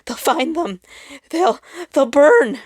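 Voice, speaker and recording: A woman's voice: high-pitched, animated, slow, a little mellow, no echo, pitched above the performer's own voice. A woman voicing a heartbroken, frightened male star in human form, sobbing and crying out in a strained voice for a story reading. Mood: sobbing panic.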